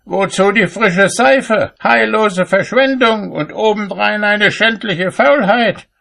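An irascible master cobbler from a storybook. His voice leaps very high as he scolds and shouts. He is indignant and finds fault with everything and everyone. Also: slow, gravelly, full character voice. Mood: scolding.

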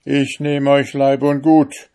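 A man's voice: mid-range voice, monotone, very slow, pitched above the performer's own voice. A man voicing a rich, wicked judge who blusters and threatens in a storybook reading. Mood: blustering. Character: rich wicked male judge, overbearing and arrogant.

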